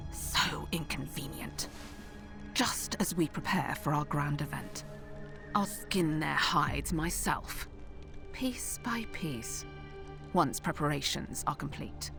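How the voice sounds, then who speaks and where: A sinister noblewoman villain, for a video game cutscene. She is deliberate and cold, with a deep female voice full of creak and a slow, threatening pace. Music plays prominently behind her. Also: lively intonation, full character voice. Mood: cold menace. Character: sinister scheming noblewoman, cruel and coldly irritated.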